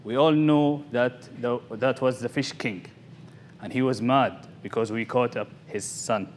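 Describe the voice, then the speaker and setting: A man giving a theatrical storytelling speech to an audience, speaking dramatically in short, deliberate phrases with pauses. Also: medium pitch, steady tone. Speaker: contest speaker. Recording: noisy live event recording.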